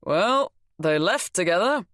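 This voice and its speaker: A woman's voice: on the low side, animated, takes it slowly, fairly bright tone, dry recording, studio quality, pitched above the performer's own voice. A woman voicing a mild, placating middle-aged man who serves in a shop.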